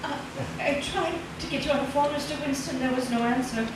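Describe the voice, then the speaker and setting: Woman playing a high-voiced frightened wife, echoey recording. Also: fairly quick, natural voice. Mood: guarded. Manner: anxious, pleading, hesitant. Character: frightened, reluctant wife dragged into crime. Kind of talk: old-time radio drama acting. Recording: very echoey, distant, loud background noise.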